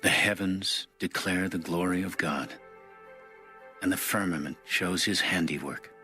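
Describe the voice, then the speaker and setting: A deep-voiced man reads scripture in a solemn, measured style. His tone is crisp-edged and forceful, formal throughout, with faint music playing behind him. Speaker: scripture narrator. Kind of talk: solemn audio Bible reading.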